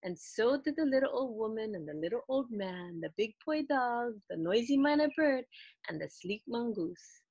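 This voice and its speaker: A woman in her thirties reading a children's story aloud in an expressive, deliberate storytelling style. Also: on the high side, breathy edge, dry recording, dull audio. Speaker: children's book reader. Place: small indoor room lined with books.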